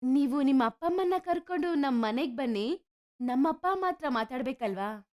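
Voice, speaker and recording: A woman voicing a frank, warm-hearted young village daughter in a story. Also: high voice, expressive, moderate pace. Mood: questioning. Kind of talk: animated moral story dialogue.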